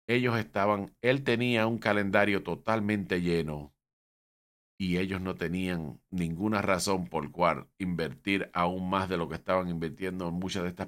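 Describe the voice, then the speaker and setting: A man's voice: low-pitched, fairly bright tone. A man hosting a show, talking unscripted about film and wrestling history, rambling with frequent restarts and an emphatic, opinionated delivery.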